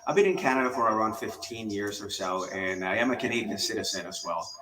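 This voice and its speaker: A man's voice: on the low side, quick pace, distant mic, crowd noise. A man from Chile, a band member, introducing himself hesitantly in casual chat. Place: home music studio, garage. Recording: echoey garage room.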